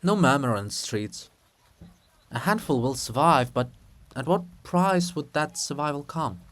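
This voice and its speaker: A man's voice: on the high side, lively intonation, a bit bright. Man playing a mournful, anguished old queen. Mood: anguished dread. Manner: slow, mournful, lamenting delivery. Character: anguished old woman, grieving statue of a queen. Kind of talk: dramatic reading of a stage play.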